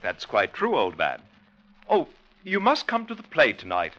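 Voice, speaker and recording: On a muffled old radio recording, a condescending society gentleman. He is suave and affected, with lively swooping pitch and a bright, crisp edge. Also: normal speed. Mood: urbane, snobbish. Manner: affected, patronizing. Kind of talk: 1940s radio acting.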